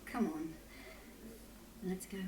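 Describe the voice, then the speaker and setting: A woman's voice: fairly low pitch, takes it slowly. An actress playing a wry, resolute woman who guides others through a shadowy fantasy city in a video game. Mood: urging, assured. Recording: roomy with faint noise.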